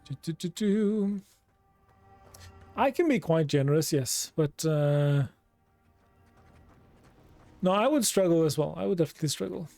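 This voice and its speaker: A man's voice: on the high side, expressive, unhurried, gravelly, long pauses, dark tone, soft background music. A man with a Norwegian accent giving chatty let's play commentary, reacting aloud and talking to his chat. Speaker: streamer.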